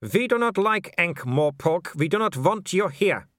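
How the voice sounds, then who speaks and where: Man voicing a haughty werewolf pack leader, animated and higher. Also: measured pace, no echo, clean studio sound. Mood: taunting menace. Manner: formal, taunting, grandly scornful. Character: haughty, menacing male werewolf pack leader, foreign aristocrat.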